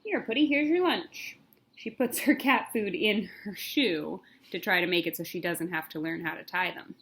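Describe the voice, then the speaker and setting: A woman reading a picture book as a pre-K teacher, expressive, with simple explanatory asides for young children. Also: lowish voice, moderate pace, slight background noise.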